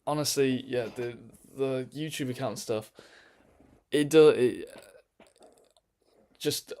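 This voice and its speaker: A man's voice: medium pitch, slow pace, warm tone. A man chatting casually on a live stream from his bedroom, rambling with lots of ums and restarts.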